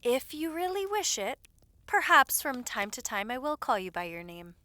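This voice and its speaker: A woman playing a caring, loyal lady's maid in an audio roleplay, attentive and gently scolding with polite familiarity. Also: sits high, very animated, moderate pace, no room sound, full character voice. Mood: fond, deferential.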